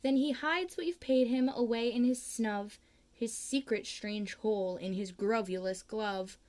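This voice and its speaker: A woman reading a children's picture book aloud, slow and expressive, with big swings in pitch on key words.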